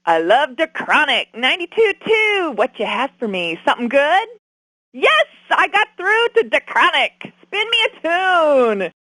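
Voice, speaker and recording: Very high, drawling, flirty woman caller. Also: big pitch swings, unhurried, pushed and bright, no room sound, heavily acted. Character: flirty, drawling female radio fan calling in. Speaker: radio caller.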